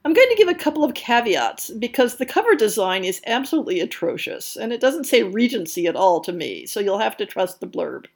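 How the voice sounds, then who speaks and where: A woman's voice: fairly high pitch, big pitch swings, a little fast, hardly any pauses. Woman podcast host reading scripted book news.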